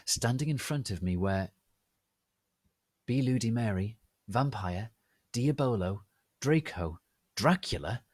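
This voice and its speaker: A man narrating fan fiction as a theatrical, deliberate dramatic reading. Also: mid-range voice, expressive, slightly rough, no echo.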